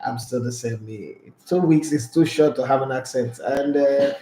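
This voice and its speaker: A man's voice: mid-range voice, normal speed, warm tone. A man with a Nigerian accent hosting a sports talk show, chatty and boastful, with pidgin asides. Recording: roomy echo.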